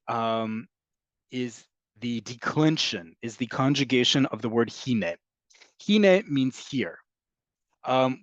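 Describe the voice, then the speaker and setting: A man's voice: medium pitch, no echo. A patient man explains things step by step to his students, repeating and rephrasing as he goes. His voice is dry and close. The sound is a little dull. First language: English. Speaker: Hebrew teacher. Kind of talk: online class, answering questions.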